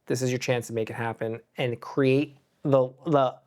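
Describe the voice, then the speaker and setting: A man co-hosting a relaxed panel discussion. He speaks at an average pitch and rambles loosely, with filler words scattered all through his talk. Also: moderate pace. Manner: loose and chatty, filler "like" everywhere. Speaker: co-host. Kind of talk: casual panel chat.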